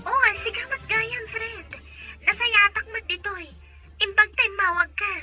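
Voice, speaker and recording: A woman's voice: extremely high pitch, a little slow, sharp tone, faint music behind, exaggerated voice. A woman playing a helpful young friend talking on the phone in a drama. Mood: friendly. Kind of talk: Ilocano drama acting.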